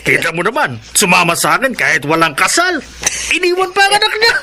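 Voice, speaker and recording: A man's voice: high voice, sing-song, normal speed, background noise. A man playing a smug, boastful, sweet-talking freeloader in a Filipino radio drama, cocky and gloating. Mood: gloating, amused.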